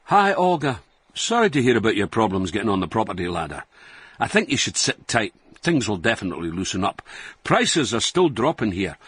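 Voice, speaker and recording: A man's voice: fairly low pitch, sing-song, fairly quick, fairly bright tone, slightly rough, no room sound, full character voice. An actor playing a roguish, boastful Scottish man in his sixties from Dundee in a radio comedy, colloquial and mock-sincere. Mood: flirty, smooth. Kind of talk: radio comedy acting, reading out emails.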